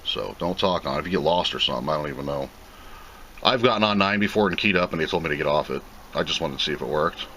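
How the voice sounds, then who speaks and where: A man's voice: a bass voice, animated, fast, sharp tone, low-quality recording. A man giving a casual, plain-spoken unboxing commentary, rambling and thinking aloud as he goes. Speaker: video host reviewing a product. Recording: clear background noise.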